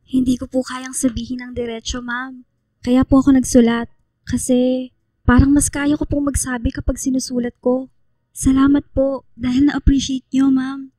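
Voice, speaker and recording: A woman's voice: high voice, little pitch movement, moderate pace, no room sound, poor audio. An actress playing a shy, earnest teenage schoolgirl in a radio drama, speaking politely and hesitantly. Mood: apologetic, sincere. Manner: hesitant, polite, softly apologetic.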